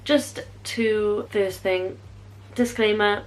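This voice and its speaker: A woman's voice: medium pitch, fairly even intonation, extremely slow, poor audio. A young woman, chatting to camera in a casual vlog, bubbly and rambling, correcting herself as she goes. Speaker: beauty vlogger.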